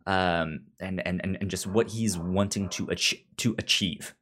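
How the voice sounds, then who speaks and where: Halting, stammering man chatting casually. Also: low-pitched, steady tone, a bit bright. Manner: halting, frequent stammered repetition of sounds and words. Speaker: podcast co-host. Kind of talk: casual book discussion chat.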